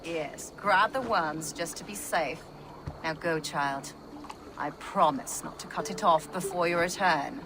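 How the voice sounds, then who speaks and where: Stern low-voiced village healer. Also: female voice, a little slow, noisy background. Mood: dry humour. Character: stern, dry-witted mature village healer woman, motherly. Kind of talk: video game voice acting.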